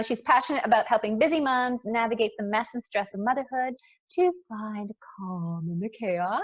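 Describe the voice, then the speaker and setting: A Canadian woman hosting a podcast in a casual, excitable, slangy way, on slightly dull audio. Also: a little high. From Montreal, Canada. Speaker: podcast host. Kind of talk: casual chatty podcast interview.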